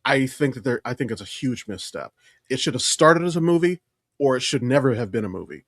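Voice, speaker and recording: A man's voice: mid-range voice, lively intonation, a little fast, no room sound, clean studio sound. A man co-hosting a podcast in casual conversation, blunt and opinionated, swearing freely as he comments. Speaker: podcast co-host. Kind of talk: casual chat, film commentary.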